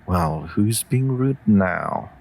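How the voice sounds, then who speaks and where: A man's voice: fairly low pitch, animated, gravelly, a little mellow, pitched above the performer's own voice, heavily acted. A man playing a stoic, protective wolf familiar in an intimate comfort audio roleplay, teasing drily and tenderly. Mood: drowsy, tender. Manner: slow, dry, teasing.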